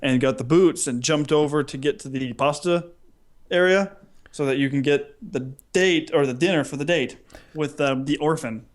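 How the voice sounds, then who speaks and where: A man's voice: fairly high pitch, moderate pace. A man co-hosting a podcast in casual comedic banter, playful and mock-grandiose, stretching words for effect. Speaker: podcast co-host.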